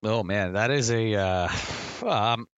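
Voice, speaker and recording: A man's voice: fairly low pitch, slow pace, clear, resonant tone, no echo, lo-fi sound. A man co-hosting a casual sports podcast, talking earnestly and rambling as he repeats phrases to build a point. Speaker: podcast co-host and soccer fan.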